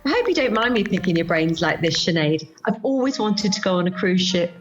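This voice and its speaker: A woman's voice: lowish voice, sing-song, fairly quick, highs cut off, slight background noise. A woman playing a teasing, melodramatic middle-aged friend in an amateur radio play. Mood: chatty, sardonic. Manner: melodramatic, sardonic. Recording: home recording over video call.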